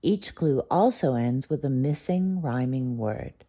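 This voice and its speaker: A woman's voice: extremely low pitch, lively intonation, measured pace, dark tone, exaggerated voice. A woman voicing a polite, thoughtful young heroine in a children's bedtime story. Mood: encouraging.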